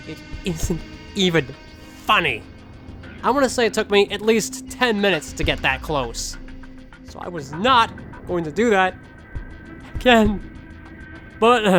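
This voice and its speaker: A man's voice: high voice, fairly bright tone. A young man giving casual commentary as a gaming video creator.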